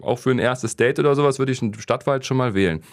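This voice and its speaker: Relaxed man chatting with fillers. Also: mid-range voice, quick pace. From Krefeld, Germany. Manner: relaxed, plain, hesitant with fillers. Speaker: co-host. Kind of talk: casual chat, question round.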